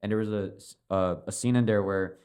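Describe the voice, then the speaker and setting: A man's voice: on the low side, steady tone, brisk, a little mellow, clean tone. A man whose first language is Chinese, a filmmaker chatting casually as a podcast guest, rambling with lots of fillers and casual swearing. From China.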